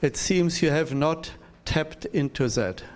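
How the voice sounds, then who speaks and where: Measured male professor giving feedback. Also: on the high side. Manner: measured, direct, advising. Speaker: professor, discussant. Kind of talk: academic critique at a conference.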